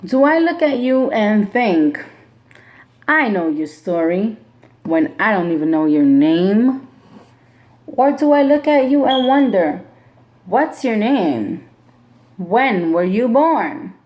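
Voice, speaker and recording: A woman teacher reading a picture book aloud to a class in a slow, expressive storybook manner, then giving classroom instructions. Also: mid-range voice.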